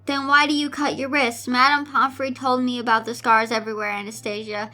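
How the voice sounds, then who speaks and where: A woman's voice: fairly high pitch, level tone, fairly quick, pushed and bright, soft background music. Woman reading as cold, stern father. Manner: cold, unworried. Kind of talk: amateur storybook-style reading of fan fiction.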